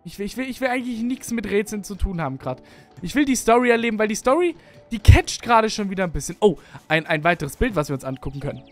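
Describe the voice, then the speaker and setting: A man's voice: high voice, animated, quick pace, soft background music. A young man with a Berlin accent, chatting casually as he plays through a video game for his channel. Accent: Berlin German. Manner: chatty, rambling, self-mocking. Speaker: let's play streamer. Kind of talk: casual gameplay commentary. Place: home gaming setup, indoors. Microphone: studio mic on arm, headphones.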